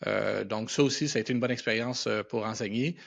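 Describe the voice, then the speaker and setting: A man's voice: lowish voice, a little fast, gravelly. A middle-aged man with a Quebec French accent tells anecdotes casually as an interview guest, using colloquial speech sprinkled with English words. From Quebec, Canada. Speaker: podcast guest, animation and visual effects professional. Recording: dull, band-limited podcast audio.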